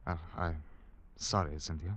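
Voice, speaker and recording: Deep, bright-voiced reassuring gentleman. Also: steady tone, measured pace. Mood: soothing. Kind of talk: 1940s-50s radio drama acting. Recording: dull old radio recording.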